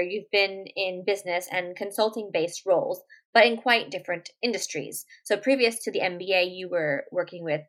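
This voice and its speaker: A woman hosting a podcast interview, reading prepared questions in a measured way. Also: on the low side, fairly bright tone. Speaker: podcast host.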